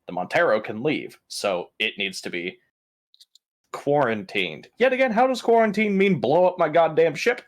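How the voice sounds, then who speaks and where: A man's voice: a little high, expressive, normal speed. A man casually recapping tabletop game events as a player, blunt and sardonic, with plenty of swearing.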